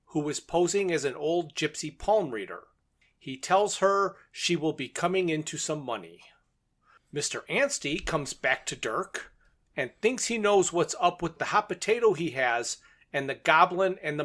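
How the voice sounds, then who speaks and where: Male co-host, fairly high-pitched, casual and plain-spoken, handles the step-by-step recap. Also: fairly bright tone. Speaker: podcast co-host.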